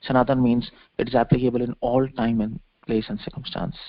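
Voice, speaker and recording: A male preacher lectures on scripture in a gravelly, creaky voice. He explains things didactically and repeats key phrases for emphasis. The sound is dull and muffled, like a lo-fi conference call, with very little room echo. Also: mid-range voice. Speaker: religious preacher giving a lecture.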